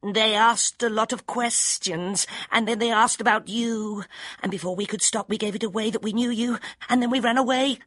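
A man voicing an anxious, shape-shifting animal companion spirit who explains in a hurried, rueful way. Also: high voice, steady tone, fairly bright tone, no room sound, voice pushed up.

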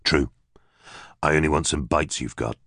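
A man's voice: rumbling low, normal speed, a bit bright, no room sound, clean studio sound. A man voicing a tough ex-army psychic in an audiobook, curt and menacing, with occasional swearing. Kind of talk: audiobook character voice.

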